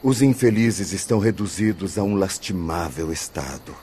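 A man's voice: sits low, animated, normal speed, warm tone, a little hiss or hum. An actor with a Brazilian accent playing an earnest young male spirit missionary in a radio drama, polite and formal. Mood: earnest, pleading.

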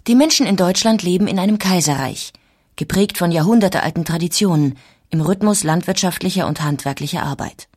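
A woman narrating a scripted historical documentary in a measured storytelling manner. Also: fairly low pitch, a little mellow, breathy edge.

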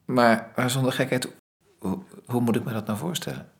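A man's voice: mid-range voice, normal speed, creaky, close to an everyday voice. An actor in a radio play playing a flustered, grieving man who often stammers and hesitates. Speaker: Onno, widower-to-be. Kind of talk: radio drama acting.

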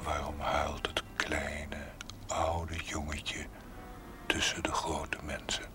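Music plays around a hushed, solemn whispering figure. The voice is extremely low and breathy, drawing out every word very slowly, as in a grave television drama. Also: monotone. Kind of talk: slow whispered TV drama acting.

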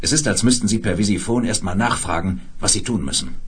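An actor playing a grave, authoritative space leader and narrator in a German radio drama, in standard German. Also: male voice, on the low side, fast, slightly rough. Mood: grave, narrating. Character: commanding space administrator hero, grave and authoritative. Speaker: lead character and first-person narrator.

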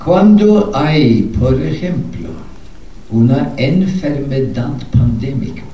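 Slow, mellow older man lecturing in a hall. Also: medium pitch, slight background noise. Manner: measured, emphatic, pausing between short phrases. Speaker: peace researcher giving a lecture. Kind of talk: public lecture. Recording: echoey hall, speaker far from mic.

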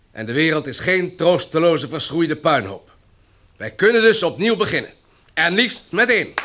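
A man acting in a radio drama as a solemn, composed chairman who addresses survivors in formal, measured oratory. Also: a little high, pushed and bright, slight background noise. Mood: resolute, grave.

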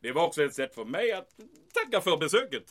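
An actor playing an agitated, self-important German military commandant in a comic audio drama, speaking formally and emphatically with German words mixed in. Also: male voice, on the high side, big pitch swings, a bit bright. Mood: aggrieved.

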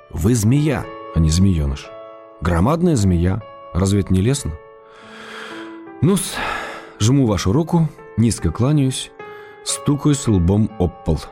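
Wry, mellow-voiced male writer. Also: lowish voice. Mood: mock-stern teasing. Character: wry, affectionate male writer in middle age, teasing. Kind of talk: radio drama reading of letters.

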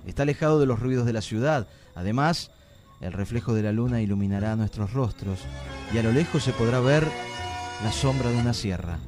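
An actor with an Argentine accent playing a gentle, reassuring teenage boy in love in a radio drama, speaking softly and coaxingly. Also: mid-range voice, brisk, close to an everyday voice. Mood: tender. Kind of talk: amateur radio drama acting. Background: music.

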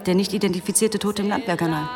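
A woman's voice: medium pitch, steady tone, quick pace, music in the background, natural voice. An actress playing a shrewd, flirtatious policewoman in a German radio play, dry and self-assured. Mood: dry, brisk.

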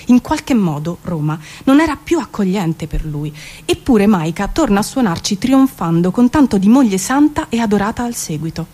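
A woman giving an expressive, wry theatrical reading of literary prose. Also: medium pitch, moderate pace. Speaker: performer reading prose poems. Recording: music behind the voice. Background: music.

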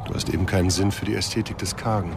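A middle-aged aesthete on holiday in a radio drama. His voice is low, his phrasing lofty and poetic, with clear noise in the background. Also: steady tone, racing. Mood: admiring, lofty.

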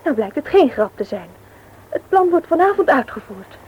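A woman's voice: high-pitched, big pitch swings, moderate pace, dull audio. A woman acting a reproachful, headstrong young woman in a radio play. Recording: noisy old radio play recording.

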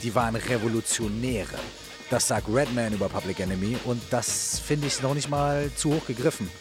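A man with a medium-pitched voice chats as co-host of a music feature. He is slangy and emphatic, full of enthusiasm, with music clearly playing behind him. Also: normal speed. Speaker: co-host and rapper. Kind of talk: casual enthusiastic music chat.

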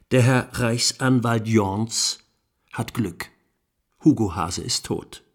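A man acting as an indignant male lawyer delivers a courtroom plea in a radio drama. His is deliberate and rhetorical, in a mid-pitched voice. He leans on key words and leaves pointed, sardonic pauses. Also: close to an everyday voice. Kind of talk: courtroom speech in a radio play.

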